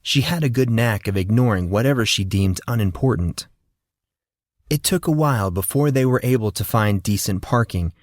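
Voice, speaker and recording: A man narrating a fantasy audiobook in steady, measured storytelling. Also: fairly low pitch, no echo, studio quality.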